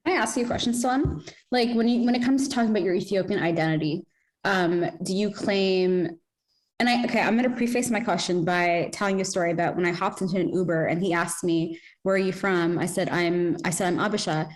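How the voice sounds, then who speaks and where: A woman in a casual podcast conversation, asking questions and telling an anecdote in an animated, chatty way. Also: lowish voice, fairly quick. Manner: animated, laughs while telling stories.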